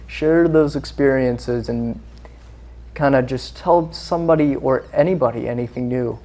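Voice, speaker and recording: A man who grew up in Singapore answers interview questions in an earnest, meandering way. His pitch sits in a mid range, and his sentences trail off and restart, padded with small fillers. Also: a little slow, warm tone. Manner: earnest, rambling, with many fillers. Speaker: guest. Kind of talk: casual interview chat.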